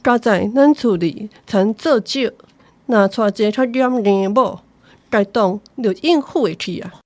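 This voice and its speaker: A woman's voice: medium pitch, measured pace, a little mellow, a little hiss or hum, lightly acted. An actress playing a practical rural mother who reassures her husband, in a radio drama. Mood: reassuring.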